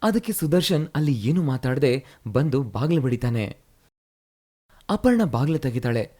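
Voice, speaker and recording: A man narrating a moral fairy tale in a brisk, plain storytelling manner. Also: medium pitch, big pitch swings, dark tone.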